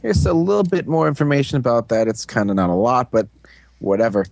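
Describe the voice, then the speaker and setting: A man of ordinary pitch reads fan news on a casual podcast. He talks loosely, full of "uh" and "um", and throws in crude jokes. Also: big pitch swings, quick pace, vocal fry, darkish tone, no room sound. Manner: joking, playfully crude, many fillers. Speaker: podcast co-host.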